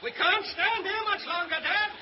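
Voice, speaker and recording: A man's voice: very high-pitched, moderate pace. An actor playing a gloomy Australian country man fighting a bushfire in a 1930s radio serial. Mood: uneasy. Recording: muffled, very noisy 1930s radio recording.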